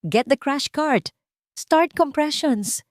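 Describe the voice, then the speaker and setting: A woman narrator voicing an urgent, commanding female emergency doctor in an audio drama, shouting clipped orders. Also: sits high, lively intonation, takes it slowly, dry recording, clean studio sound, voice pushed up.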